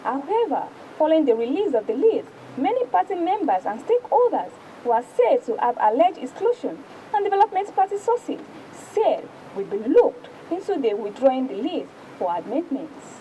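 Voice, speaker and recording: High-pitched Nigerian woman reading the news. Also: very animated, moderate pace, warm tone, a little hiss or hum, rough recording. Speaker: presenter reading newspaper review. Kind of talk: news reading and paper review.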